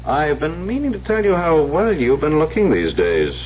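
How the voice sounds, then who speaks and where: An actor playing a courteous, kindly psychiatrist in an old radio drama, with a polite, formal and gently teasing manner. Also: male voice, fairly high pitch, big pitch swings, moderate pace. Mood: genial. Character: courteous, kindly male doctor, a psychiatrist employer. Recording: dull, hissy vintage radio recording with hum.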